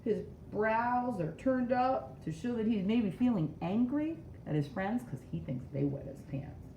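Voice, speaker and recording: Slow, mellow, lively woman reading to children. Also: a little high, far from the mic. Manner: explanatory, addressing young children. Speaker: storybook read-aloud reader. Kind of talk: children's read-aloud with explanations. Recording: roomy echo, dull sound, faint noise.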